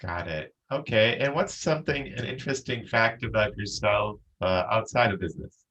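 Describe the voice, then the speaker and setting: A man hosting a business podcast, asking his guest relaxed questions with frequent fillers. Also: lowish voice, normal speed, low-quality recording. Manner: scripted intro, then relaxed questions with fillers. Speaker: podcast host. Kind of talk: podcast interview.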